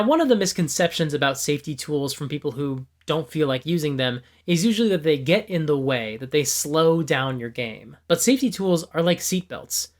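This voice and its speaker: A man hosting a tabletop game explainer, his voice slightly hoarse and a little gravelly. He talks in a fairly high register for a man, earnest and opinionated, and keeps leaning on examples to make each point. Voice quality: a bit gravelly. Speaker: tabletop game video host. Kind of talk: scripted explanatory video.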